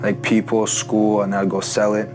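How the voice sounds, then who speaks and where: Low, flat-voiced young man. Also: music in the background. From Ukraine. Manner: halting, filler-heavy speech with many restarts. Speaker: interview guest sharing testimony. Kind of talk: casual personal testimony.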